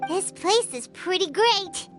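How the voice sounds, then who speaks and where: A woman voicing a tiny, excitable, chatty fairy companion with a squeaky, childlike voice in a video game. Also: slow pace, faint music behind, exaggerated voice. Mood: upbeat. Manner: perky, speaks of herself in third person.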